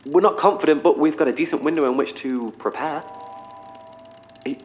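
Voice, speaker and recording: An actor playing an eccentric professor in an audio drama, speaking in a formal, wordy, slightly awkward corporate manner. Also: male voice, on the high side, close to an everyday voice. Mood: defensive, halting. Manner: formal, wordy, halting under pressure. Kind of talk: orientation film and meeting speech in audio drama.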